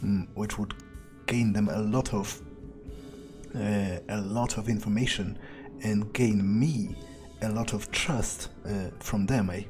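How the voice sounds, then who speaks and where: A man plays a game in character, musing aloud with sardonic hesitation. He speaks very slowly in a dark, mellow voice, with faint music in the background. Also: lowish voice, fairly even intonation. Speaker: game player roleplaying a character.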